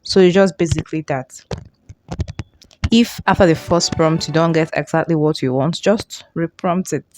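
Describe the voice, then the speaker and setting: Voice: mid-range voice, moderate pace, a little mellow, slight background noise, rough recording. A tutorial host explaining things informally, often repeating and rephrasing along the way. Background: music.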